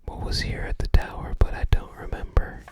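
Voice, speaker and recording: Whispered ASMR, close-mic, hesitant, rambling, short unhurried phrases. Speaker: ASMR creator. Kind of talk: whispered ASMR book browsing.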